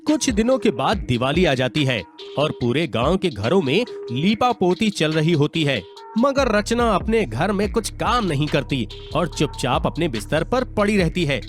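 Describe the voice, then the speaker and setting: A man narrating a scripted moral story with expressive storytelling delivery. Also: fairly high pitch, a little slow. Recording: music behind.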